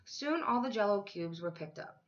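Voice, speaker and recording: A woman's voice: mid-range voice, lively intonation, moderate pace, muffled recording. A woman reading a children's storybook aloud expressively, like a teacher to her class. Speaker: school teacher reading to third graders.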